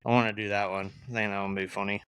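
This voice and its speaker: Bright-voiced joking young man. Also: fairly low pitch, flat delivery, racing, clear, resonant tone, no room sound. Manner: joking, teasing, boastful banter. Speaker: podcast co-host. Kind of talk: casual chat.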